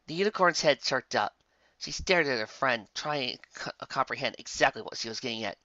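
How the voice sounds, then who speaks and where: A man reading a story as a scripted narrator, with dramatic, expressive delivery on a muffled, dry home recording. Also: medium pitch, a little fast, no room sound. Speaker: fan fiction narrator.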